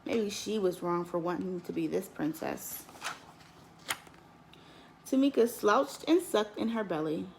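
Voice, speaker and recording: Gravelly-edged woman reading a storybook. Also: medium pitch, unhurried, long pauses, a little hiss or hum, rough recording. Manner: expressive, lilting storytelling with lifts on key words. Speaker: teacher. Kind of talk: storybook read-aloud.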